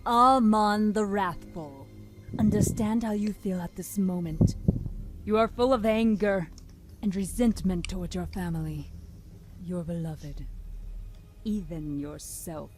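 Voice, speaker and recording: A woman voicing a calm, menacing demon of wrath with solemn, deliberate delivery in a visual novel game. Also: medium pitch, music in the background, close to an everyday voice. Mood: commanding, grave. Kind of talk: dramatic visual novel voice acting.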